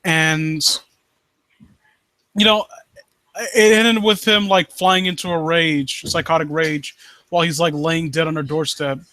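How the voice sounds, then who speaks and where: Guy streaming, kinda high voice, dry close sound, reads stuff out and rambles, keeps rewording himself. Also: steady tone, sharp tone, no room sound. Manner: rambling, halting, often rephrasing himself. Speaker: live stream host reading and critiquing amateur horror stories. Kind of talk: story read-aloud and casual commentary.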